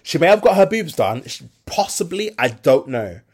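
A Brummie man talking on his podcast in a lively, opinionated way. His pitch leaps around a lot, and he often drops to a mutter before rising loud again. He is blunt and swears freely. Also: normal speed, breathy edge. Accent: Birmingham English. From Birmingham, England. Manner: animated, blunt, swears freely, swings between loud and quiet. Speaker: podcast host. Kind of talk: casual opinionated chat.

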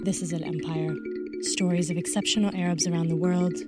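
A woman hosting a podcast, reading a scripted introduction in a measured way into a close studio mic. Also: on the low side, flat delivery, over loud music. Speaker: podcast host.

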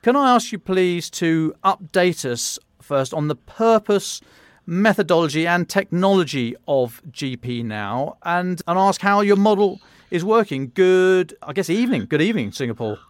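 A man's voice: high-pitched, expressive, normal speed, no room sound. British man hosting a news podcast, dry sound. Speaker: podcast host and defence journalist. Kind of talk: news briefing, semi-scripted with conversational asides.

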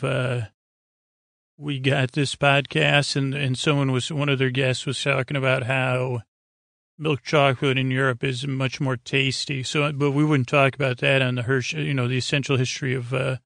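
Gravelly, meandering American man. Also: medium pitch, level tone, a bit bright, no room sound, studio quality. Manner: meandering, halting, trails off mid-thought. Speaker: podcast host. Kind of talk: rambling, low-key monologue for a sleep podcast.

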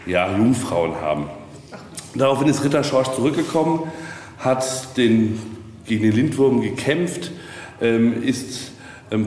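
Deep-voiced man in an echoing space. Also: a little mellow. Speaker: castle tour guide. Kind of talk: informal guided tour storytelling. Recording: very echoey, reverberant, noticeable background noise.

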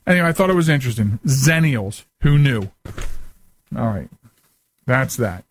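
A man's voice: mid-range voice, animated, moderate pace. A middle-aged man hosting a talk show in a casual, rambling, self-deprecating way, sometimes reading aloud. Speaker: radio talk show host. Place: small studio at a desk.

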